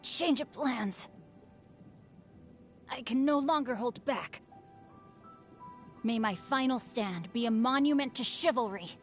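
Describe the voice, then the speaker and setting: Young chivalrous scholar lady for a game, high voice, slow n formal, music behind it. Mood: resolute. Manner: formal, solemn declarations. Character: chivalrous young woman scholar and tactician, composed and earnest. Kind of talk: video game character voice lines.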